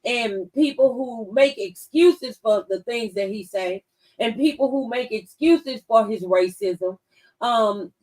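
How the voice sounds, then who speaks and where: A woman's voice: on the high side, slow, darkish tone, poor audio. A woman in a casual political discussion on a talk show, speaking conversationally.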